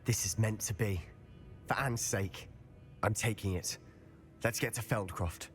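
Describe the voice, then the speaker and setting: A defiant young student. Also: male voice, fairly low pitch, measured pace, a bit bright, faint music behind. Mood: earnest. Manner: earnest, defiant.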